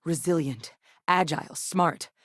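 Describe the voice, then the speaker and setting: Woman scientist, sci-fi game character, lowish pitch, grave, earnest, articulate, measured delivery, dry studio sound. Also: dry recording. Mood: measured, serious. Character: grave, dedicated woman scientist in a space-faring military. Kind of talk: video game character dialogue.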